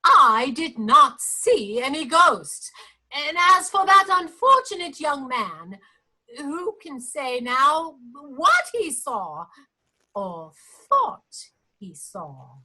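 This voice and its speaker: High voice, slow, injured female investigator, theatrical, evasive, insistent, radio drama. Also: sing-song, sharp tone, heavily acted, poor audio. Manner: emphatic, theatrical, deliberate. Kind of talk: radio play acting.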